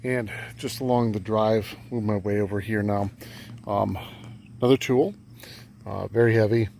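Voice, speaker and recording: A man with a gravelly voice giving a halting, understated casual vlog narration outdoors. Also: fairly low pitch, fairly even intonation, darkish tone. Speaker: metal detecting vlogger. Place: outdoors on rural farm property. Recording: noticeable outdoor background noise.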